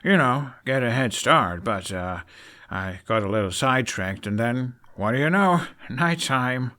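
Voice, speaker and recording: A man's voice: mid-range voice, expressive, unhurried, sharp tone. A man voicing a self-conscious, evasive young prince with a hesitant manner in an audiobook. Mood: awkward, evasive. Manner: hesitant, awkward.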